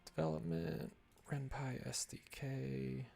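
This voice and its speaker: Male streamer, mid-pitched with a creaky edge, talking casually to himself in slow, broken fragments as he works. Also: fairly even intonation, dark tone. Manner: muttering to himself in short fragments. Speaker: livestreamer developing a visual novel. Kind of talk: casual livestream thinking aloud while working.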